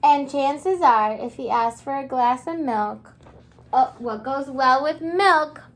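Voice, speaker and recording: High-voiced woman teacher reading to young children. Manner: expressive, asking questions and pausing as if children answer; encouraging, simple child-directed phrasing. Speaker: kindergarten teacher. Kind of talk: interactive children's read-aloud lesson.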